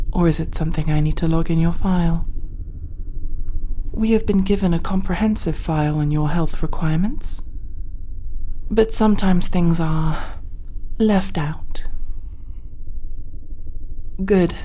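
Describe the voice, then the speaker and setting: A faint background hum sits behind a woman acting as a haughty alien crew officer in a sci-fi roleplay. She speaks slowly and formally, in a lowish, soft-edged voice, with clipped disdain for humans. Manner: curt, condescending, formal and measured. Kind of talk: sci-fi ASMR audio roleplay.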